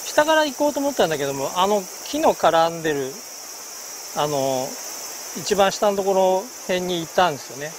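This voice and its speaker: A middle-aged man talking casually while fishing a river outdoors, pausing often with fillers. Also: high-pitched, background noise. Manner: relaxed, many hesitation fillers. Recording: loud background music outdoors.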